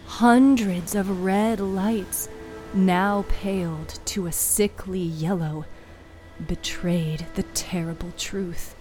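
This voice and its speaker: A woman's voice: medium pitch, darkish tone. A woman reading scripted material as the writer, narrator and host of a fiction podcast.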